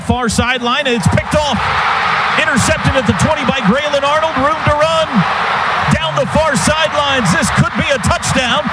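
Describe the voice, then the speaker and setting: A male sports announcer with a high voice gives a running radio call of a football game. His phrases are clipped and urgent, following each move as it happens. Steady noise sits behind him on the live broadcast.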